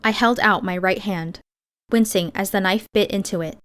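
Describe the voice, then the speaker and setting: A woman's voice: medium pitch, moderate pace, a bit bright. A woman reading scripted first-person fiction as an audiobook narrator.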